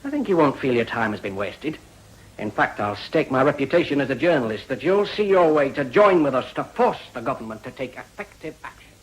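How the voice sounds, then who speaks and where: Zealous newspaper editor, husky, fast, insistent, formally persuasive, period radio drama. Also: male voice, mid-range voice, expressive, rough recording. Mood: grave, insistent.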